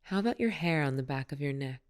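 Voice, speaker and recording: Smooth-voiced woman guiding a meditation. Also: low voice, lively intonation. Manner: soothing, unhurried, gently instructive. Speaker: meditation teacher. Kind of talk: guided meditation.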